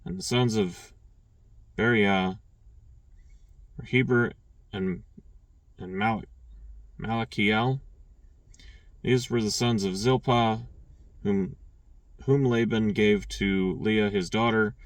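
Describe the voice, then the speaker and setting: A man reading scripture aloud, stumbling and restarting on names and clearing his throat now and then. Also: on the low side, fairly even intonation, slow, long pauses, no room sound, far from the mic. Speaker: scripture reader.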